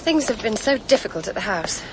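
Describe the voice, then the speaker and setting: A woman's voice: mid-range voice, very animated, brisk, noisy background, close to an everyday voice. A woman with a British accent playing an anxious, chattering woman in a radio drama. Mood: eager, anxious. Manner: fluttery, chattering. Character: anxious woman greeting a returning friend.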